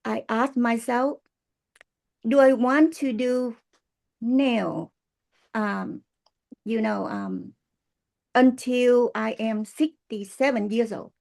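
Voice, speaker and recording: Female speaker, sixties, Vietnamese accent, higher-pitched with a creaky edge, earnest halting testimonial. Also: big pitch swings, a little mellow. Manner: halting, earnest, non-native English with pauses. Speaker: guest speaker, business distributor. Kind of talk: prepared testimonial speech.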